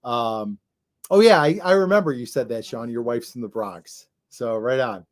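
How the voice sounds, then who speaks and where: A man co-hosts a casual livestream with a chatty, joking manner. His voice sits at a middle pitch. He often trails off mid-thought and restarts. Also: normal speed. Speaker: co-host.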